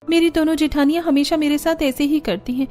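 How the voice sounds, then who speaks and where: Lady doing young put-upon bahu in a cartoon, really high voice, polite n plaintive, eager to please. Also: steady tone, a little fast, darkish tone, faint music behind, lightly acted. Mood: plaintive, weary. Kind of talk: Hindi cartoon family drama acting.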